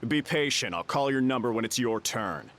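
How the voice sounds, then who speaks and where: Curt game clerk, brusque, medium pitch, swinging intonation, slight background noise. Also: male voice, expressive, moderate pace, a bit bright. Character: curt, brusque male clinic attendant. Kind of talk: video game voice acting.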